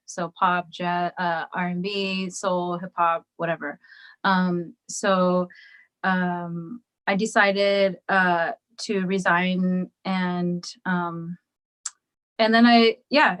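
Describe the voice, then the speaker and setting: A woman's voice: mid-range voice, fairly bright tone. A woman singer as a guest, talking casually in an interview with frequent ums and restarts. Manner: hesitant, many ums and restarts.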